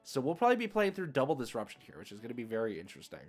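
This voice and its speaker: A man's voice: medium pitch, huge pitch swings, racing. A man streaming an online card game, thinking aloud in casual, chatty play-by-play with slangy asides. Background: music playing behind the voice.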